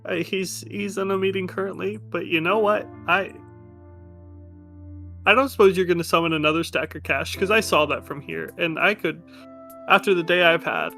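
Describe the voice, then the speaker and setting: A man's voice: high voice, steady tone, moderate pace, creaky, sharp tone, soft background music, voice pushed up, full character voice. A man voicing an anxious, exhausted woman secretary in a tabletop game, hesitant and stammering. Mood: anxious, weary. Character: anxious, worn-out woman secretary guarding her post.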